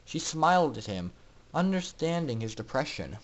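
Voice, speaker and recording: A man's voice: a little high, sing-song, moderate pace, poor audio. A man reading a story aloud as a dramatic amateur narrator, theatrical and emphatic in his delivery. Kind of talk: dramatic fan fiction reading.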